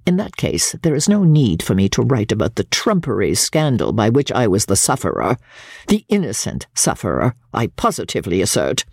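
A bitter, proud older woman from a Victorian novel, performed by a female narrator, confesses with haughty indignation. Her voice is gravelly and theatrical, rising and falling widely over precise diction. The sound is close and dry, as in an audiobook. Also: very deep voice, huge pitch swings, moderate pace, a little mellow, no echo, full character voice. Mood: defiant. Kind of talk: dramatic audiobook reading of classic literature.

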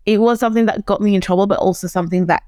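A young woman from Zimbabwe whose first language is Shona, talking as a guest in an animated, casual interview and acting out the voices she quotes. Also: mid-range voice, racing, dry recording, clean studio sound.

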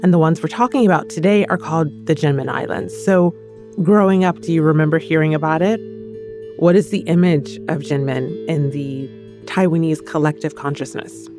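A woman hosting a news podcast, narrating and asking her guests questions in a clear, conversational way. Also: deep voice, normal speed, music in the background. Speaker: podcast host.

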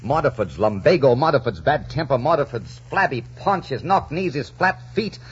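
An actor playing a bold, romantic pirate captain, commanding and theatrical, in 1940s radio drama style. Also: male voice, medium pitch, very animated, normal speed, slight background noise, natural voice. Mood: mocking. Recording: dull 1940s radio recording.

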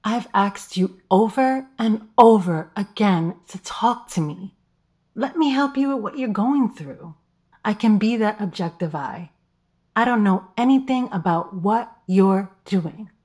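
An actress playing a seductive, possessive and manipulative lover in an audio drama, with coaxing, drawn-out phrasing. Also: female voice, medium pitch, expressive, dark tone, natural voice. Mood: jealous, wounded.

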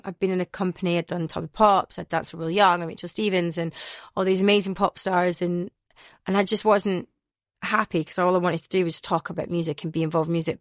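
Scottish woman, 30s, radio DJ guest; animated, rambly storyteller; low-set voice, dry close studio sound. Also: no room sound. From Glasgow, Scotland. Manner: chatty, animated, rambling anecdotes with self-corrections. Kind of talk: casual interview storytelling.

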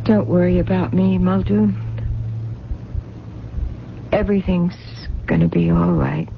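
An actress playing a sorrowful, anxious young waitress in a radio drama, hesitant and tender. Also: female voice, on the low side, steady tone, unhurried, gravelly. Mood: tender, sad. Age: young adult. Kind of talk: 1970s radio drama acting. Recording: muffled vintage radio broadcast, faint hum.

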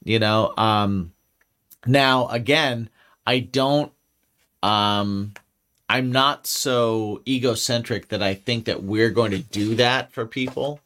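A man co-hosting a casual podcast, talking through his thoughts out loud. He rambles, restarts his sentences and swears freely, in a relaxed everyday tone. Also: mid-range voice, takes it slowly. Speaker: podcast co-host. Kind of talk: casual chat.

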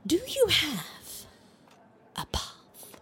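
A woman's voice: very high-pitched, wildly swooping pitch, very slow, sharp tone, exaggerated voice. A woman playing a bored, ruthless young Egyptian queen in a comic audio drama, with a giggly, theatrical, flippant delivery. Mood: coolly demanding.

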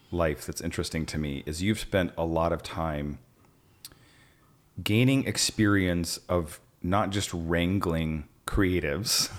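A man hosting an interview, asking questions in an enthusiastic, conversational way. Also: deep voice. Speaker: podcast host.

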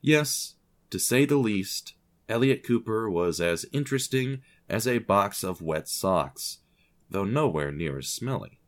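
A man's voice: fairly low pitch, animated, measured pace. A man reading scripted story narration with a dry, wry, understated manner.

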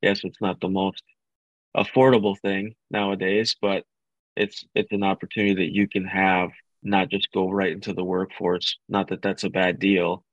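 A bilingual man speaking as an interview guest, earnest and halting, with frequent restarts. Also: low voice, level tone, dry recording, lo-fi sound. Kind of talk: casual interview.

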